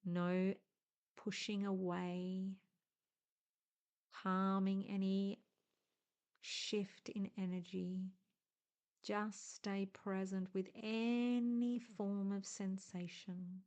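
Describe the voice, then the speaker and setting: A woman leading a guided meditation in a gentle, hushed, soothing way, speaking in short deliberate phrases with long pauses. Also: medium pitch, little pitch movement.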